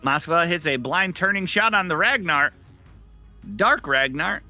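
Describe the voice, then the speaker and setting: A man's voice: fairly high pitch, lively intonation, unhurried, pushed and bright, faint music behind. A man giving conversational live game commentary as an esports co-caster.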